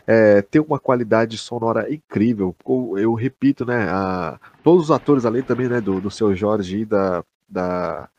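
Male podcast host, Brazilian accent, slightly rough husky tone, excited and sweary casual chat. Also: fairly low pitch, unhurried, hardly any pauses, highs cut off. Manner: slangy, swearing, emphatic. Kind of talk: casual chat, enthusiastic review.

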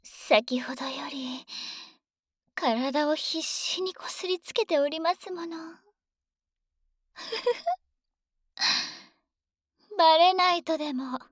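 A woman's voice: sits high, extremely slow, pauses often, fairly bright tone, no echo, heavily acted. A woman voicing a devoted, possessive dragon maid in an ASMR drama, speaking close to the mic in polite, coaxing, intimate words that often slip into whispers. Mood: teasing, coaxing. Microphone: close microphone.

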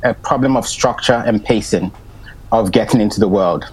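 A man's voice: lowish voice, a little fast, a little mellow, husky, low-quality recording. A man on a discussion panel giving his reactions, hesitant, with restarts, laughing easily.

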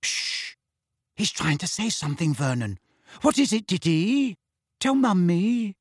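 A man voicing a shrill, fussing middle-aged mother in a storybook reading. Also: very animated, unhurried, breathy edge, no echo, studio quality, heavily acted. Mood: coaxing. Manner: shrill, fretful.

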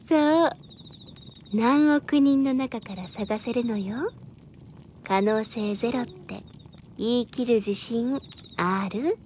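A woman acting as a worldly, self-assured adviser in an audio drama, speaking in a deliberate, persuasive, feminine way. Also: on the high side, darkish tone, slight background noise.

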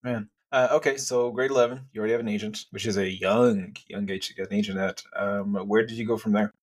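Chatty male podcast host, low voice. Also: quick pace. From England. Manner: relaxed, chatty, frequent "uh" fillers. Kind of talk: casual interview chat.

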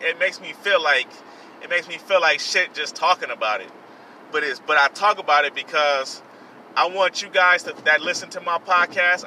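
Earnest young man, bright thin voice. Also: a little high, far from the mic, slight background noise, poor audio. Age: 20s. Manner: earnest, repetitive, self-reflective, with many fillers. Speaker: podcast host. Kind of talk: personal motivational monologue.

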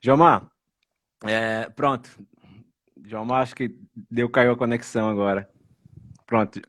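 A man's voice: medium pitch, measured pace, a bit bright, dry recording. A man hosting a casual online live stream. He speaks hesitantly, often restarting and repeating words.

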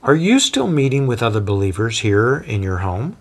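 Calm deferential traveling preacher, voiced by a man, soft spoken, lively pitch. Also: moderate pace. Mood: measured. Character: gentle, reassuring traveling apostle, man.

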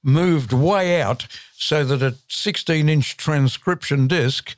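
A man's voice: fairly high pitch, slow, no echo. An older Australian man, a veteran broadcaster, reminiscing in a dry, understated way during a casual podcast chat.

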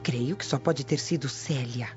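An actress playing a gossiping Roman servant informer in a radio drama, confidential and insinuating. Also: female voice, a bass voice, unhurried, a little mellow. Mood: sly, confiding. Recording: dull lo-fi radio, faint music.

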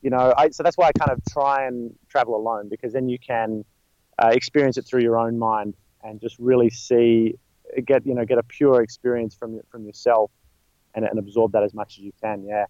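A man's voice: medium pitch, steady tone, fairly quick, darkish tone, no room sound. Australian guy rambling on in an interview, lotsa ums, kinda muffled dry audio. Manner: rambling, many fillers and restarts. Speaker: guest, stuntman. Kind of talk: casual interview talk.

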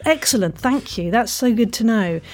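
A woman's voice: fairly high pitch, animated, moderate pace. A woman hosting a casual podcast interview in an effusive, encouraging way, with frequent exclamations.

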